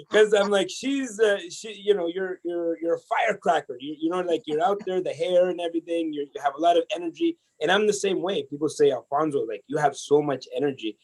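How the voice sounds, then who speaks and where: A man from El Salvador, a podcast guest telling his life story in a casual, energetic way, often restarting his sentences. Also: on the high side, a little fast, slightly rough, low-quality recording. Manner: energetic, chatty, many restarts.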